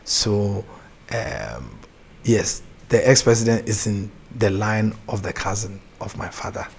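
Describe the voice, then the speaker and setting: A young Ghanaian man with a Ghanaian accent, a gospel artist chatting as an interview guest, soft-spoken, laughing easily and often restarting his sentences. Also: fairly low pitch, fairly even intonation, unhurried, vocal fry, slightly rough. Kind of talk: casual interview chat.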